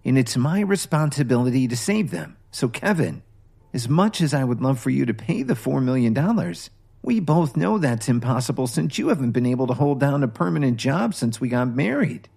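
A man's voice: medium pitch, big pitch swings, normal speed, vocal fry, darkish tone, breathy edge, pitched above the performer's own voice, full character voice. Man voicing a weary, earnest wife. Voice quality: earnest, tired. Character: weary, earnest wife running a failing company.

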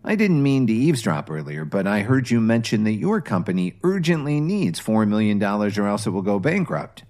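A man voicing an earnest, quietly determined young husband close to his own voice. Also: fairly low pitch, sing-song, brisk.

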